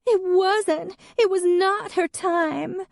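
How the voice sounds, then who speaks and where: A woman's voice: extremely high pitch, slow, creaky, dry recording, studio quality, pitched above the performer's own voice, heavily acted. A woman reading an audiobook, voicing a weepy, clingy young woman who wails dramatically. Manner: sobbing, wailing.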